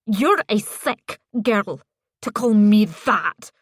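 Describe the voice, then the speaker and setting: A woman voicing a spiteful older mother with a gravelly smoker's voice, mocking and gleeful as she taunts. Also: a little high, lively intonation, unhurried, no room sound, studio quality. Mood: indignant. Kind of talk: audiobook character voice.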